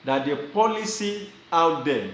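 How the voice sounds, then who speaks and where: A man's voice: a little high, expressive, a little slow, fairly bright tone, reverberant, rough recording. A Nigerian man giving casual advice to his viewers, often repeating and restarting his phrases with small chuckles. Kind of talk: casual advice talk to camera.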